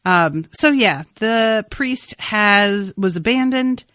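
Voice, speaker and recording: A woman's voice: mid-range voice, animated, takes it slowly, a bit bright, clear, resonant tone, no echo, clean studio sound. A woman podcast host talking through a TV episode in an informal, rambling way with exclamatory asides. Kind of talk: casual TV recap chat.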